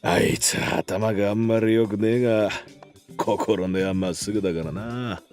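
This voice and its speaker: An actor playing a gruff, fond older gang boss in a Japanese visual novel. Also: male voice, fairly low pitch, fairly even intonation, quick pace, darkish tone, close to an everyday voice. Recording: game music behind.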